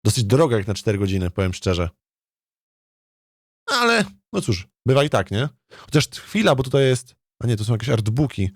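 A man's voice: medium pitch, big pitch swings, normal speed, no echo, studio quality. A man chatting casually as a game streamer, thinking aloud in a hesitant, rambling way and often repeating his words. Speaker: streamer, let's play host.

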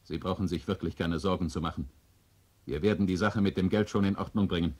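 A man's voice: deep voice, a little fast, a little mellow, husky. An actor playing a devoted, eager male robot servant in a 1970 German radio play, deferential and insistent. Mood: eager, reassuring.

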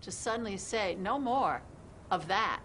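Middle-aged female, unscripted group-discussion delivery, average pitch, slight background noise. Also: expressive, a little slow, a bit bright. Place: indoor meeting room with seated group.

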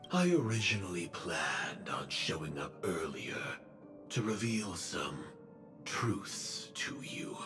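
Armored game mercenary: bottomless register, unhurried solemn delivery, cold menace, clear music underneath. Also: male voice, rumbling low, exaggerated voice. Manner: slow, solemn, deliberate. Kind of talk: video game voice acting.

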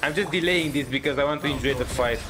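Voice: medium pitch, fast. A gaming streamer giving casual live commentary, reacting aloud and talking to themselves while playing. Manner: reacts aloud, mutters to self. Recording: game music under the voice. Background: game music and combat audio.

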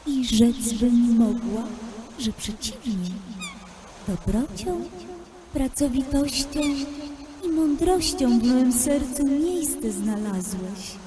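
High, slow, regal sea princess, played by a woman. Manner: solemn, formal archaic speech. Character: young sea princess, regal, gentle and loving. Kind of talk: folk fairy-tale radio play.

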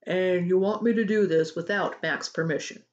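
A woman's voice: on the low side, moderate pace, highs cut off, close to an everyday voice. A woman voicing a reluctant, cautious, weary father in a storybook, firm and doubtful.

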